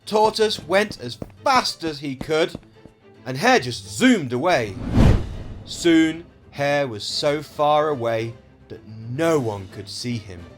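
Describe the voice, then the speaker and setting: Slow, animated male children's storyteller. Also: on the high side, soft background music. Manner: expressive, explanatory. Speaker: storyteller, host. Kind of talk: children's story read-aloud.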